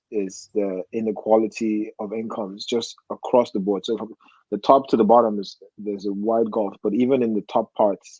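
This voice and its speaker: A man, telling personal stories casually and haltingly as a guest, often rephrasing as he reflects. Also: lowish voice, warm tone, breathy edge, no room sound, lo-fi sound. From Nigeria. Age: 40s. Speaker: podcast guest.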